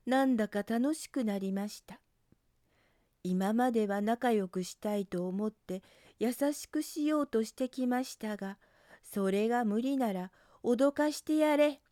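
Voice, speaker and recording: A woman narrating a scripted picture book for children in a gentle, careful storytelling manner. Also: a little high, no echo, studio quality.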